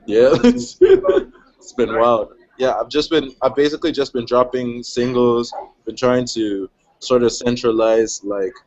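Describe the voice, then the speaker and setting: A man's voice: mid-range voice, lively intonation, a little slow, darkish tone, muffled recording. A young man who grew up in Switzerland, a guest rapper chatting casually in a laid-back, slangy way with frequent laughter. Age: young adult. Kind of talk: casual chat.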